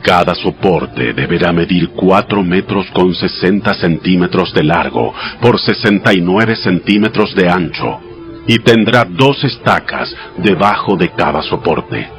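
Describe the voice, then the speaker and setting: Deep male voice, solemn, commanding, measured, instructive, dramatized scripture, music underneath. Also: animated. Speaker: voice actor in a dramatized audio Bible. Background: music behind the voice.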